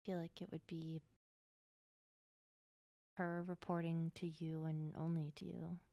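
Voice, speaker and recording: A woman's voice: sits low, little pitch movement, measured pace, gravelly, mellow, dry recording, highs cut off. A woman improvising casual in-character conversation as a player in a game roleplay.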